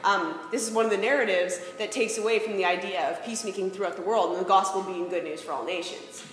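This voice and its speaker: A woman's voice: mid-range voice, fast. A woman giving an informal, reflective talk, often posing rhetorical questions. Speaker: speaker presenting ideas on faith and peacemaking. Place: large reverberant room. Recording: very echoey hall, faint background noise.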